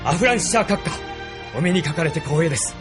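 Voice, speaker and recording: A man's voice: fairly high pitch, animated, fast talker, close to an everyday voice. A man acting a deferential military officer in a radio drama, speaking with respectful formality over music.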